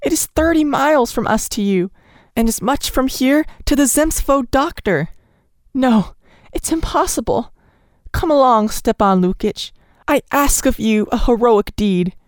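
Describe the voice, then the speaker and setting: A woman doing a frantic, high young wife. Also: expressive, unhurried, mellow, no room sound, studio quality. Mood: desperate pleading. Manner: sobbing, begging, insistent.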